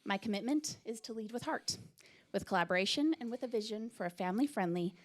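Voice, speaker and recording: A woman's voice: a little high, animated, quick pace. A woman reading a heartfelt, prepared campaign speech as a council candidate in a community rec center. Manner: heartfelt, scripted. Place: community recreation center hall.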